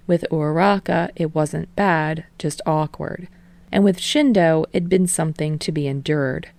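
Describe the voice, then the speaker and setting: A woman reading fan fiction aloud, her voice somewhat low with plenty of vocal fry. The narration is casual and even, on a dry, close recording. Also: no room sound. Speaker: podfic reader.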